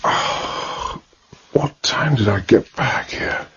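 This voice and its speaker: An actor playing a British gentleman adventurer, dry and weary, in a radio drama that he also narrates in the first person. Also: medium pitch, extremely slow, slightly rough, dull audio, exaggerated voice. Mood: groggy.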